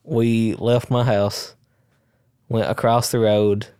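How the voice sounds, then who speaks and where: A laid-back man co-hosts a podcast and tells stories in a dry, understated way. His voice stays flat in pitch and sounds smooth. The recording is close and dry. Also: takes it slowly, no room sound. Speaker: podcast co-host. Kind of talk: casual podcast chat, storytelling.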